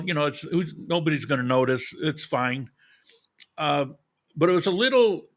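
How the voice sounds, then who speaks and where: Man, podcast host, slightly high pitch, bright forceful tone, casual livestream talk, informal, addressing viewers directly.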